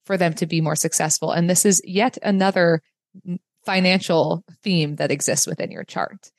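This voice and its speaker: A woman giving a guided reading in a calm, explanatory manner. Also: fairly low pitch, moderate pace, no echo, studio quality. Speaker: human design reader, host.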